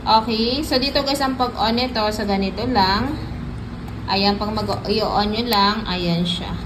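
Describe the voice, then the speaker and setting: A woman with a Filipino accent giving a casual, chatty product demo and sales pitch, often addressing her viewers directly. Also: steady tone, a little slow, background noise. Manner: chatty, repeats filler tags and addresses viewers. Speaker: product reviewer and live seller.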